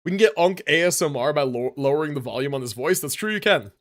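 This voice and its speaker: A man's voice: fairly high pitch, animated, fast. A young adult man streaming live, chatting casually and rambling as he tells a story, often tagging on "right?" and "like" and sometimes mushing his syllables.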